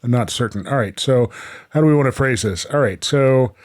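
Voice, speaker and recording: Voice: medium pitch, brisk. A show host announcing scenes and chatting casually with a co-host.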